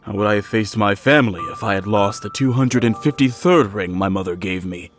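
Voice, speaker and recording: A man's voice: on the low side, brisk, over music, natural voice. A man voicing a polite, earnest, wealthy innkeeper in a video game. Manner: courteous, earnest.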